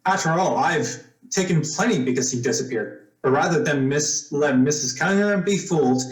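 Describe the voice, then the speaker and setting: A man's voice: fairly high pitch, animated, normal speed, echoey room, natural voice, poor audio. An actor playing a suspicious, blunt young man making threats in an old-time radio suspense drama. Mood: bitter, threatening. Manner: blunt, tough.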